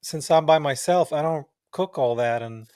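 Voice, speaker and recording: A man's voice: a little high, moderate pace, a little mellow, no echo. Casual man interviewing, dry close sound. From the Levant. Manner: informal, often says man and bro. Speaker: podcast interviewer.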